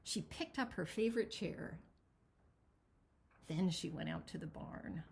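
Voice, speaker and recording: A woman reading a picture book aloud as its author, with expressive, dramatic storytelling. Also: fairly low pitch, unhurried, creaky. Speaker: children's author reading their own book.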